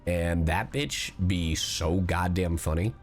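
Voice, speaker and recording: A man livestreaming a game with casual, excitable, playful and foul-mouthed commentary. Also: deep voice, slow pace. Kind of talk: casual gaming stream commentary. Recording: game music behind the voice. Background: game music.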